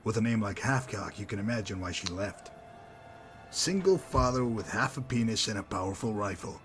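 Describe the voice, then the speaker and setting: A low-pitched, sardonic underworld enforcer from a video game, acted by a man. He talks in wry, wordy sentences with mocking politeness and quiet menace. Music plays behind the voice. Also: moderate pace, lightly acted. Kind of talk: scripted video game dialogue.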